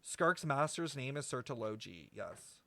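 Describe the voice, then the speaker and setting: A man streaming casual game commentary, talking to chat in an animated, slangy, sweary way. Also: mid-range voice, a little slow. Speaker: streamer reacting to a video game. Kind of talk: casual livestream commentary and lore speculation.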